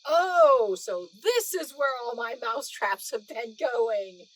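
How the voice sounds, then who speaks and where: A woman's voice: on the high side, big pitch swings, slow pace, exaggerated voice, poor audio. A woman voicing a stern, suspicious, easily amazed male museum guard in a storybook read-aloud, exclaiming emphatically. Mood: suspicious, surprised.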